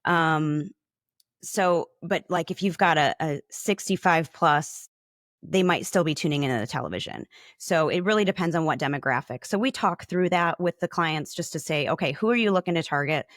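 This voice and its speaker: A woman's voice: low-pitched, normal speed, fairly bright tone, no room sound, clean studio sound. A woman speaking as an interview guest, explaining her industry in a conversational way. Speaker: podcast guest, advertising account manager.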